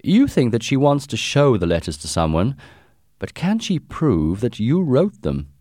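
A man voicing a brilliant, brisk English gentleman detective in an audiobook, commanding, precise and confident. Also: mid-range voice, wildly swooping pitch, no echo, clean studio sound, pitched above the performer's own voice. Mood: calm, commanding. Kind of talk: audiobook character dialogue.

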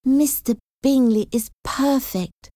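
A woman's voice: medium pitch, animated, slow pace, warm tone, no echo, clean studio sound, pitched above the performer's own voice. A woman voicing a sweet, trusting young woman in a storybook, with an earnest, gushing manner. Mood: admiring.

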